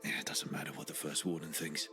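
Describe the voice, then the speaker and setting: An actor playing a reflective, earnest young male adventurer in a video game cutscene, musing aloud with quiet determination. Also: extremely low pitch, flat delivery, quick pace, over music.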